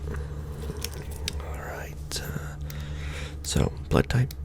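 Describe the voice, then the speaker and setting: A man playing a casual, wry secret-society intake clerk in a soft-spoken, half-whispered ASMR roleplay, deadpan and rambling. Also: extremely slow. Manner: deadpan, rambling, mumbling to himself over paperwork. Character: casual, wry male Illuminati recruitment clerk doing intake paperwork.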